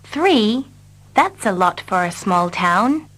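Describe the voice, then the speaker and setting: A woman repeating short English dialogue lines slowly and carefully for learners. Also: mid-range voice, sing-song, fairly bright tone. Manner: carefully repeats each line. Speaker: English teacher. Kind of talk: slow scripted reading for learners.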